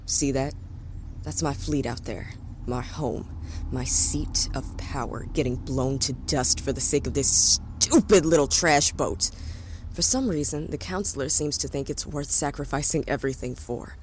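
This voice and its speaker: A woman's voice: a bass voice, a little slow. A woman playing a bitter, threatening space-fleet officer in an audio drama, with a sarcastic, commanding manner. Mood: bitter menace. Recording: faint background noise.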